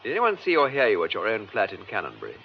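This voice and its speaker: Radio drama detective: British, courteous, probing, very lively intonation, slightly muffled broadcast sound. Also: male voice, on the low side, fast, slight background noise. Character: composed, methodical male police detective questioning suspects.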